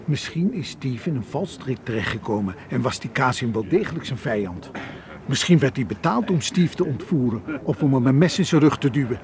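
A man's voice: medium pitch, expressive, brisk, lightly acted. An actor in a radio play playing a worried, cautious man who argues earnestly and insistently. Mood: exasperated, worried. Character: worried, cautious male friend urging sense. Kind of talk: radio drama acting. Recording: radio play with crowd murmur behind.

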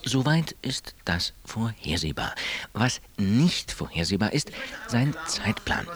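Radio play: dry male commentator, mid-pitched but very lively intonation, sententious, crowd behind. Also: takes it slowly, heavily acted. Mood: dry, measured. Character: dry male pundit weighing up an awaited arrival. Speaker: commentator in a two-man exchange. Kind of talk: radio drama dialogue.

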